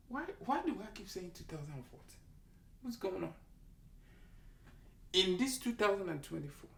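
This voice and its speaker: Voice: medium pitch, creaky. A speaker with a Nigerian accent, a doctor giving an emphatic, preachy health talk to camera and repeating key lines for effect. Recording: echoey room, mic held at a distance.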